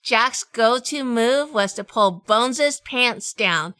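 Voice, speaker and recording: An actress playing a feisty, flirtatious and sardonic gangster's wife in a 1930s-style radio drama. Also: female voice, fairly high pitch, slow, bright, forceful tone, clear, resonant tone, dry recording, studio quality. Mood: wry narrating. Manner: sassy, sardonic. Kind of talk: hardboiled period radio drama dialogue and first-person narration.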